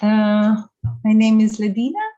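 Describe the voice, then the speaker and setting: A woman from Albania, briefly and quickly introducing herself. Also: medium pitch, mellow. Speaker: biomedical engineer. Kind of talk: self-introduction in a meeting. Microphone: video call. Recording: dull, distant video call audio.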